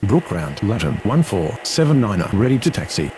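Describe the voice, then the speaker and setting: Guy as a businesslike pilot on radio calls, low-ish, intonation swings, noisy. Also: very animated, moderate pace, a little mellow. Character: businesslike male pilot requesting airport clearances. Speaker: air traffic radio voice. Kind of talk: scripted aviation radio phraseology. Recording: clear vehicle-like ambient noise.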